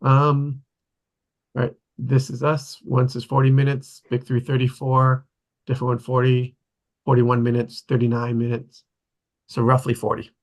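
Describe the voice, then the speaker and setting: A man chatting casually in a group, rambling and halting, with a husky, slightly gravelly voice. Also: mid-range voice, completely flat delivery.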